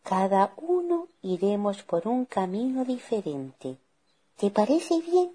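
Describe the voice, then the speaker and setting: Casting: woman as big sly wolf for a children's tale, sugary and cunning, unhurried, husky. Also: mid-range voice, very animated, mellow, pitched above the performer's own voice, exaggerated voice. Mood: sly, wheedling. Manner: wheedling, falsely sweet, drawn-out delivery. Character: sly, wicked big male wolf, sweet-talking predator.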